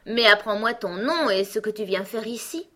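A woman's voice: medium pitch, expressive, moderate pace, bright, forceful tone, pitched above the performer's own voice. A woman voicing a pompous, irritable male talking egg in a storybook, with a haughty, emphatic delivery. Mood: irritated, disdainful. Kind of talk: storybook character acting.

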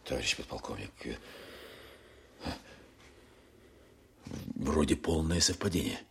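An actor playing an attentive, businesslike Soviet counterintelligence officer in an audio drama, measured and matter-of-fact. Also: male voice, very deep voice, lively intonation, creaky, fairly bright tone, slightly rough, full character voice. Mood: attentive, probing. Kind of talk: audio drama narration and dialogue.

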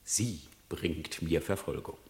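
An actor playing a sly, greedy fox in a verse radio play, wheedling and theatrically mock-humble. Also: male voice, extremely low pitch, slow pace, darkish tone. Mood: smooth flattery. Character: sly, flattering, greedy male fox feigning piety.

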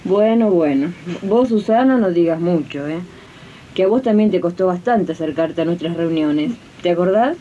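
A spirited Argentine domestic worker played by a woman in an eighties radio play. She chats companionably in a mellow, medium-pitched voice on a dull old archive recording with faint noise. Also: animated, normal speed, close to an everyday voice. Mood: teasing. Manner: colloquial, companionable, addresses listeners. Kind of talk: 1980s community radio drama acting.

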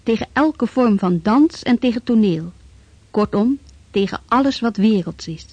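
Female narrator, higher voice, mellow tone, crisp, dry recording. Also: animated, unhurried, dry recording, dull audio. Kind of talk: scripted documentary narration.

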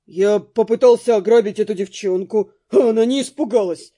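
A man's voice: high voice, moderate pace, warm tone. An actor playing an indignant, sulky young male robber in an audiobook, with whiny, blustering complaints. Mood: indignant, whining.

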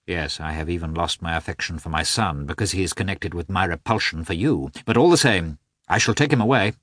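A man reading an audiobook, voicing a cold, offended aristocratic husband in a squeaky, raised voice with coldly emphatic speech. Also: fairly quick, fairly bright tone, no echo, clean studio sound. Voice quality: squeaky, high-strung. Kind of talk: audiobook character voice.

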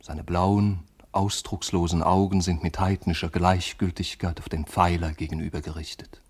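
A deep voice speaks with pointed, shifting intensity. It is a rebellious schoolboy full of disdain, in a literary radio drama monologue. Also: male voice, little pitch movement, moderate pace, breathy edge. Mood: furtive, admiring. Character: rebellious, disdainful schoolboy, secretly admiring a classmate.

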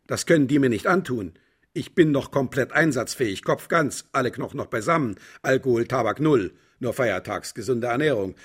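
An actor in a German radio play voicing a grumpy, vain aging official, curt and disdainful. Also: male voice, mid-range voice, husky. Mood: indignant. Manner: curt, muttering, disdainful, indignant when challenged. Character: grumpy, vain, aging male official sorting human candidates.

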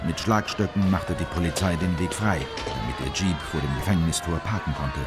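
A man acting as an official who recounts a secret operation in measured, factual radio play narration. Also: low voice, a loud crowd, close to an everyday voice. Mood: measured, tense. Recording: music behind the voice.

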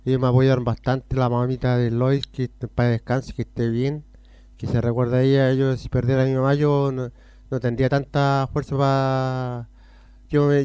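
Chilean man, rambly and repetitive, smooth voice, dead dry sound. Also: medium pitch, darkish tone, no room sound. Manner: rambling, jumps between topics with repeats. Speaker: interviewee on hospital radio. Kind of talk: casual rambling storytelling.

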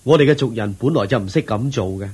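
A man's voice: mid-range voice, fast, a little mellow, slightly rough. An actor playing a devoted young nobleman who speaks affectionately to his wife in a radio drama, with a Hong Kong Cantonese accent. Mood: cheerful. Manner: affectionate, attentive.

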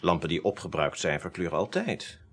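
A man's voice: low voice, sing-song, brisk, fairly bright tone, heavily acted. A man acting in a radio play as a pedantic, slightly reluctant forensic expert, precise and explanatory.

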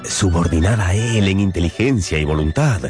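A man narrating a radio novel in a theatrical, measured and wry manner. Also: low-pitched, expressive, darkish tone. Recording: music behind.

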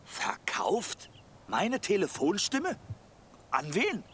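Flustered, very animated Herr Baumann. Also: male voice, sits high, drawn-out words, a little hiss or hum, heavily acted. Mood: alarmed, indignant. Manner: stammering, flustered. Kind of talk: German radio drama acting.

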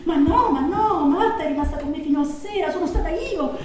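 An actress playing a desperate, abandoned lover pleading on the telephone in a theatrical monologue, halting and repetitive, swinging between hushed and anguished. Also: female voice, high-pitched, close to an everyday voice. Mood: distraught. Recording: very echoey, distant stage recording, noisy.

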